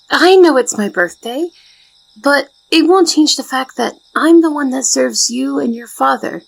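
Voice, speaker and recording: A woman playing a shy, devoted young maid in a soft ASMR roleplay, speaking politely and formally with flustered hesitation in a soft, breathy voice. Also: high voice, no room sound, full character voice, low-quality recording. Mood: flustered, insistent.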